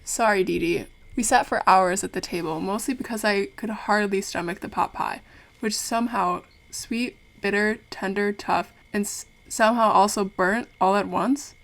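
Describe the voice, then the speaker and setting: Casting: actress as an inquisitive young interviewer and narrator for an audio play, mid pitch, politely teasing and wry. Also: female voice, normal speed, vocal fry, natural voice. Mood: wry, confiding. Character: curious, wry young woman documentary maker, politely playful. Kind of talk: audio drama acting with narration.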